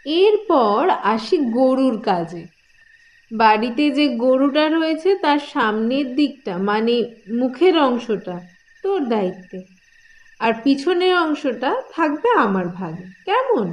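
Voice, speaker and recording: A woman's voice: sits high, sing-song, slow pace, exaggerated voice, low-quality recording. A woman voicing a sly, bossy girl, the elder sister in a cartoon, with a coaxing, theatrical manner. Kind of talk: cartoon voice acting in a moral tale.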